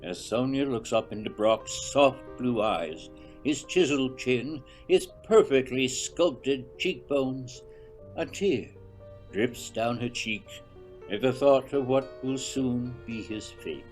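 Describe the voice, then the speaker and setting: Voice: mid-range voice, slow, darkish tone, soft background music. A narrator reading a scripted radio serial in a melodramatic storytelling style.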